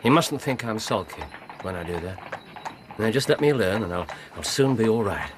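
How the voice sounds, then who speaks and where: An English actor playing a brisk, eccentric, perceptive gentleman detective in a radio drama, abrupt and theatrical, muttering to himself. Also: fairly low pitch, animated, noisy background, lightly acted. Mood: confessional, playful.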